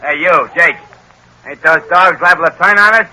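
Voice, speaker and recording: An actor playing an eager, slow-witted henchman in an old radio crime drama, with slangy, questioning talk. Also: on the high side, sharp tone, low-quality recording. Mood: eager, uneasy. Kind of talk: 1930s-1940s radio acting. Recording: old radio recording with faint noise.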